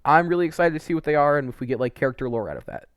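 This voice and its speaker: A man's voice: a little high, lively intonation, very fast, clean tone, dry recording, studio quality. A man giving casual, unscripted, opinionated game commentary in a rambling, emphatic way. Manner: rambling, frequent "like" and mild swearing. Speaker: video creator reviewing game quests.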